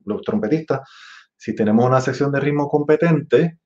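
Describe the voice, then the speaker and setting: A man in his forties with a Puerto Rican accent, teaching an online music masterclass in a relaxed, chatty way, singing rhythms with syllables. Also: medium pitch, moderate pace. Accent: Puerto Rican Spanish. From Puerto Rico. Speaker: trumpet teacher. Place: room with green wall. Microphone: webcam at desk. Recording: dull lo-fi webcam audio.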